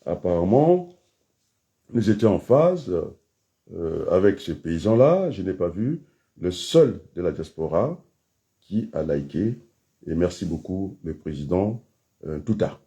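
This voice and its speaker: A man giving a rambling political monologue, hesitant with many fillers, then bursting into emphatic high outbursts. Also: big pitch swings, unhurried, warm tone. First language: French. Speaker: political commentator.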